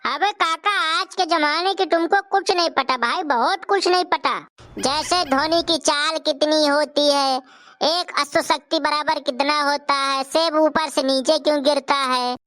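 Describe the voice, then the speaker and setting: Woman playing a bright, high-pitched cheeky village fellow. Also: measured pace. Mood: scolding, triumphant. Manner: taunting, colloquial, insistent. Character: cheeky, argumentative younger village man, teasing and insistent. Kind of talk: comic cartoon dialogue.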